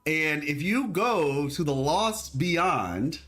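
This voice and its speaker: A man with a deep voice chatting casually as a lore video host, rambling and restarting his sentences. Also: expressive, slow, a bit bright, soft background music. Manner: rambling, hesitant, full of restarts. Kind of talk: casual chat.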